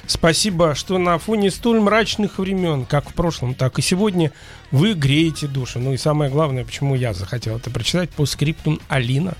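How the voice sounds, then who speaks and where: A man's voice: fairly high pitch, normal speed. A man co-hosting a live radio show, moving between announcements and banter. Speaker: radio co-host. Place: radio studio. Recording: music playing behind.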